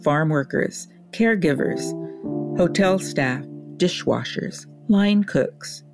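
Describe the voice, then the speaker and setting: A woman journalist narrating a documentary report in a measured, clear way. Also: low-pitched, lively intonation, music in the background.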